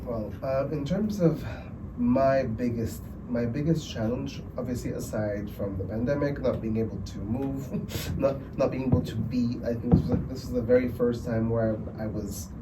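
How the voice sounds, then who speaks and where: Man, film director at a festival Q&A; relaxed and halting, heard from a distance over room noise. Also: mid-range voice. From Angola. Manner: relaxed, hesitant, many ums. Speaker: documentary director. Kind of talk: festival Q&A panel talk. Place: cinema event room. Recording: distant mic, noisy room.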